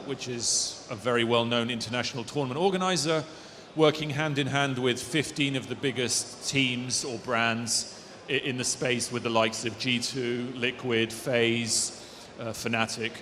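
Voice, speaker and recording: A man speaking in a measured, businesslike way as a panelist. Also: mid-range voice, a bit bright, slight background noise. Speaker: league commissioner. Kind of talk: conference panel talk. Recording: reverberant hall.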